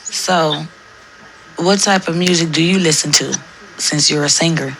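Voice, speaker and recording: A woman's voice: low voice, unhurried, fairly bright tone, slight background noise, rough recording. A woman hosting a casual interview podcast who asks questions in a chatty, slangy way and laughs easily. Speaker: podcast host.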